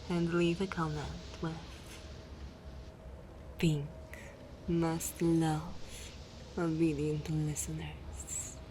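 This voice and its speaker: A woman hosting an ASMR channel, speaking softly and deliberately in a breathy half-whisper. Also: fairly low pitch, low-quality recording. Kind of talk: soft ASMR channel outro. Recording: faint background noise.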